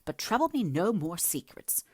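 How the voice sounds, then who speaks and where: A woman voicing a stern, disapproving servant who scolds her young mistress in a brisk, emphatic audiobook reading. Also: deep voice, expressive, a little mellow, no room sound, studio quality. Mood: exasperated. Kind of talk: dramatic audiobook character reading.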